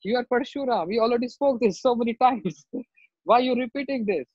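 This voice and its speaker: A man's voice: very high-pitched, a little fast, a little mellow, pitched above the performer's own voice. A man with an Indian accent voicing a bold young prince in an oral tale, lecturing with needling questions. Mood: cheeky, needling. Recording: roomy, dull lo-fi sound.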